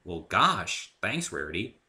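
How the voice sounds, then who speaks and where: Man acting polite, curious young hero, lively delivery. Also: low-pitched, slow pace, exaggerated voice. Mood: friendly, polite. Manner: earnest, bantering. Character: polite, curious, good-humoured human man, story's hero. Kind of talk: dramatic story reading.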